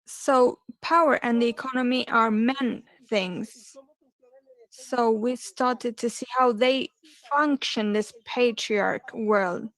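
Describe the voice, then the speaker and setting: A woman interpreting a panel discussion live, her voice set a little higher than most. She moves slowly and haltingly, pausing often and correcting herself mid-phrase. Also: steady tone, fairly bright tone, rough recording. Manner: halting, with pauses and self-corrections. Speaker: simultaneous interpreter.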